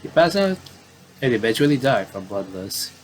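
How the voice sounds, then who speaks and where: A man's voice: medium pitch, sing-song, moderate pace, slight background noise. A man commentating on a game playthrough, casually reading story text aloud and often stumbling and rereading phrases.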